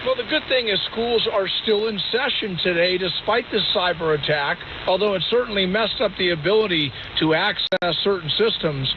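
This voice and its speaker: A high-voiced man reports live news for radio. The signal is dull and band-limited, with obvious hiss. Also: normal speed, hardly any pauses. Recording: hissy, muffled live radio relay.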